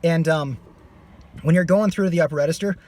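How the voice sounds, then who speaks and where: A man's voice: fairly high pitch, fairly quick, dark tone, slight background noise. A man teaching a singing lesson. He is losing his voice and stops his explanation to demonstrate screams. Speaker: vocal coach.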